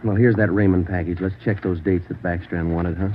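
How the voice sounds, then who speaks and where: A man playing a dry, clipped, deadpan detective sergeant in 1940s radio style. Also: low-pitched, brisk, natural voice. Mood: deadpan, matter-of-fact. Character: dry, weary male police detective sergeant. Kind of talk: 1940s radio acting, terse first-person narration. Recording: muffled noisy 1940s radio recording.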